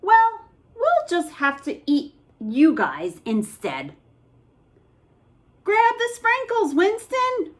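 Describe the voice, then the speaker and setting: A woman's voice: very high voice, huge pitch swings, drawn-out words, voice pushed up, exaggerated voice. A woman voicing a grumpy, hungry many-headed monster in a children's storybook, blunt and exclamatory. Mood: indignant, menacing.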